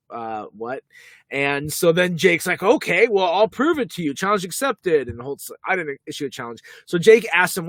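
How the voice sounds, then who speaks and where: A man co-hosting a casual podcast about a TV episode, animated and slipping into quick character voices as he retells scenes. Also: fairly high pitch, dry recording. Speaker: podcast co-host.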